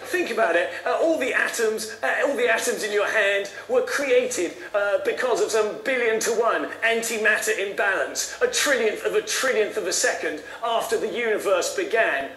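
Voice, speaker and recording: Male comedian, thirties, high and bright, roomy sound from a replayed broadcast. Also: moderate pace, few pauses, slight background noise. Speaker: comedian leading a secular congregation. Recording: echoey tablet playback of TV report.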